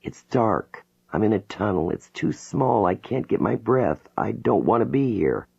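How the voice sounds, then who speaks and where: A man's voice: lowish voice, sing-song, a little slow, vocal fry, a little mellow, slightly rough, voice pushed up, exaggerated voice. A man reading an audiobook voices a frightened woman in a hypnotic trance, pleading and scared. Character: terrified young woman reliving her birth. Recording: dull lo-fi recording.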